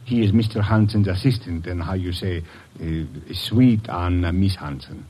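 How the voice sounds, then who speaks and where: An actor playing a nervous, evasive middle-aged shopkeeper with a foreign accent and halting broken English in an old radio drama. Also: male voice, low-pitched, dark tone, husky. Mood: hesitant, evasive. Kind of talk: old-time radio detective drama acting.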